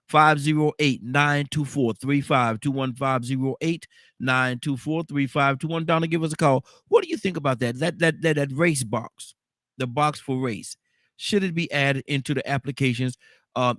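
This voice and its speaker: A man's voice: mid-range voice, dry recording, clean studio sound. A man hosting a morning call-in radio show with a stuffed-up nose, talking in a rambling way and repeating the phone number over and over.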